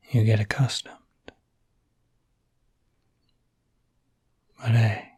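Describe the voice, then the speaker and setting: Man acting gentle werewolf, slow breathy whisper. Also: mid-range voice, dry recording. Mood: soothing. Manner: hesitant, reassuring, casual. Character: gentle, caring young male werewolf looking after someone. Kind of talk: whispered ASMR roleplay, intimate and addressed to the listener.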